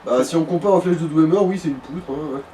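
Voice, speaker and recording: A man's voice: fairly high pitch, quick pace, dark tone. A man casually bantering as a let's play co-commentator, joking and swearing informally. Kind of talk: casual gaming chat. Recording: distant mic with background noise.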